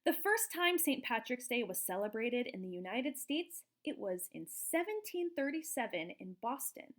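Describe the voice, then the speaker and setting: A woman reading a picture book aloud to young children in an expressive, explanatory way, like a teacher. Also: fairly high pitch, normal speed. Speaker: children's storybook reader, teacher.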